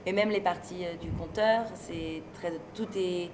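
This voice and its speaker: A woman from France being interviewed, speaking in a relaxed, thoughtful and hesitant way and laughing easily. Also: fairly low pitch, a little slow. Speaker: interviewee, singer and stage performer. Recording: noisy location recording with ambient sound.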